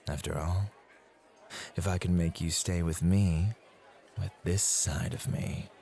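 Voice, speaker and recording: A man's voice: sits low, steady tone, slow, creaky, mellow, some chatter behind, full character voice. A man playing a devoted, competitive and possessive club host in an intimate, teasing ASMR roleplay.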